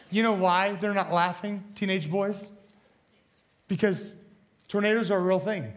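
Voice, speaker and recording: A young man preaches in a hoarse, scratchy voice, high-pitched for a man. He rambles and jokes at his own expense, stumbling through fillers and restarts as he talks to a church crowd. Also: steady tone, takes it slowly, pauses often. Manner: rambling, self-deprecating, many fillers and restarts. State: hoarse from bronchitis. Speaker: student pastor. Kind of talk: casual joking sermon. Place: church hall.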